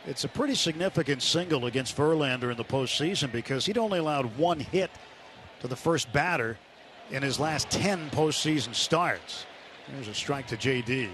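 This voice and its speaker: A man's voice: medium pitch, animated, moderate pace. A man calling a baseball game play-by-play on live radio. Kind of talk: live radio sports commentary. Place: stadium broadcast booth. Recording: noisy outdoor ballpark broadcast sound. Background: loud ballpark ambience.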